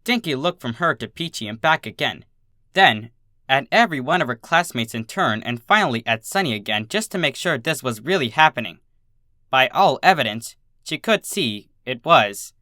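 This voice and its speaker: Story reader, expressive, really animated pitch, bright forceful voice. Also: normal speed, dry recording, clean studio sound. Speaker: narrator of fan fiction readings.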